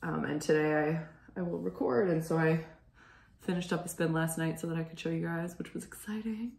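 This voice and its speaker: A hoarse young woman hosts a knitting show from home, rambling through her chatty stories and clearing her throat. Her low voice has a gravelly edge. The room around her sounds echoey. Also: steady tone, a little fast, a little mellow. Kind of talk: casual chat.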